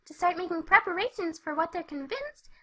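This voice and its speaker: Squeaky, bubbly party pony. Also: female voice, expressive, a little fast, sharp tone, full character voice. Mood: chatty, bubbly. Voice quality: very high, girlish. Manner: bubbly, chatty. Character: perky excitable young party pony mare, narrating. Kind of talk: first-person fan fiction narration.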